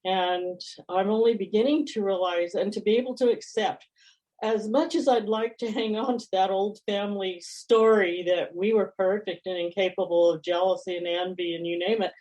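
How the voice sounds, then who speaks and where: A woman's voice: medium pitch, darkish tone, poor audio. A woman sharing personally at a support meeting, often breaking off and restarting her sentences.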